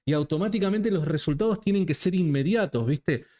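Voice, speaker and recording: A man's voice: sits high, brisk, warm tone. A man with an Argentine accent, hosting a casual interview in a colloquial, affectionate way and repeating words for emphasis. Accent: Argentine (Rioplatense) Spanish. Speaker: interviewer, host.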